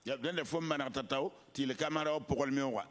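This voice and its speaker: Guy interpreting a sermon on the fly, mid-pitched voice, quick short echoes. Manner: quick, close echo of each phrase.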